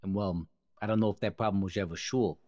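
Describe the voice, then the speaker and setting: A man plays a mafia underling in an online roleplay. His voice is very low and closely recorded with little room sound, and he lays out business in winding, euphemistic wiseguy talk. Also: fairly even intonation, fast, darkish tone, dry recording, natural voice. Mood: probing. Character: scheming, businesslike mobster underling reporting to boss. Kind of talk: improvised online crime roleplay.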